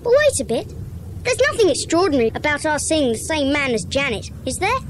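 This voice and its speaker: Junior detective for a children's radio play: excitable schoolboy, piping and animated, eagerly inquisitive. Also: female voice, sits high, a little fast, a little hiss or hum. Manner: excitable, questioning. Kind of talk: dramatised children's audiobook acting.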